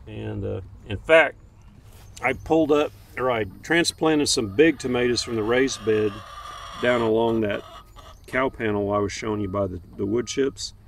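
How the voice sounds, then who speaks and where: A man's voice: medium pitch, normal speed, creaky. A man giving a casual, unscripted garden tour outdoors as a homestead vlogger, in a folksy, plainspoken way. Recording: outdoor recording with faint ambient noise.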